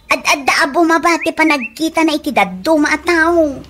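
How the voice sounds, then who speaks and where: A woman's voice: high-pitched, fairly quick, a bit bright, slight background noise. An actress playing a boastful, mocking gossipy woman in an Ilocano radio comedy drama. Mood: scornful.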